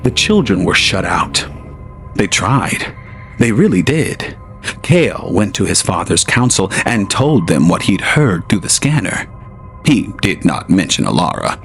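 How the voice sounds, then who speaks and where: Brief: low-voiced male narrator, slow and measured, expressive pitch, faint music underneath, audio drama. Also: vocal fry. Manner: measured, grave storytelling.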